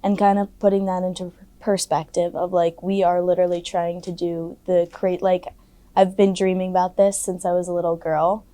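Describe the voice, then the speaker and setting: Mellow-voiced young woman athlete chatting. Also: on the low side, flat delivery, moderate pace, no echo. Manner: chatty, many likes and fillers. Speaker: college lacrosse player. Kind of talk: interview answers.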